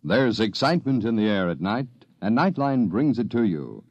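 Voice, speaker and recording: A man's voice: on the low side, very animated, normal speed. A man reading a 1950s radio promo, on a muffled vintage recording.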